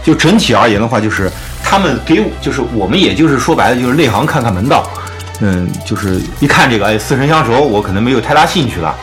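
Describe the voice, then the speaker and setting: A man's voice: medium pitch, lively intonation, quick pace, a bit bright. A man hosting a casual music discussion in an explanatory, opinionated manner. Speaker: podcast host.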